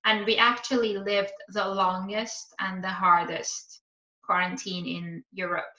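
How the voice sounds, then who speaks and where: A woman's voice: mid-range voice, little pitch movement, measured pace, bright, forceful tone. A woman in her thirties from Iran, a podcast guest chatting casually with many hesitant ums. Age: 30s. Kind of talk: casual chat. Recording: roomy, dull lo-fi sound.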